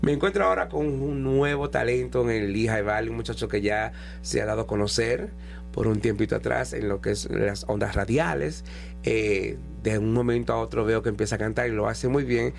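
Male radio host, gravelly voice, chatty interview style, faint music underneath. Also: on the low side, steady tone, brisk. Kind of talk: casual interview chat.

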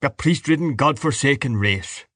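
A man's voice: mid-range voice, sing-song, fast talker, a bit bright, no echo, studio quality, pitched above the performer's own voice. Man playing a scornful, blustering Irish father. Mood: bitter scorn.

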